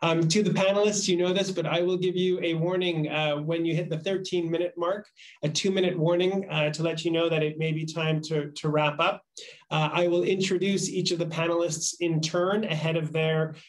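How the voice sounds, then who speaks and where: A man in his thirties moderating a formal panel over a video call, speaking in a measured, polite and halting way. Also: on the high side, level tone, rough recording. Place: small office, indoors. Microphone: video call microphone.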